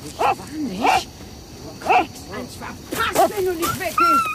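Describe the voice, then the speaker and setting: Determined woman, very high swooping voice, slow and insistent, radio thriller. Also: huge pitch swings, very noisy, exaggerated voice. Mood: angry, threatening. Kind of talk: German radio crime drama acting.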